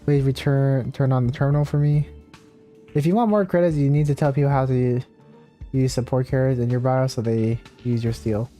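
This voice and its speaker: A man's voice: mid-range voice, steady tone, moderate pace, warm tone. A man live streaming a video game, chatting casually and rambling as he thinks aloud. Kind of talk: casual gameplay livestream chat, reading game text aloud. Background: game music.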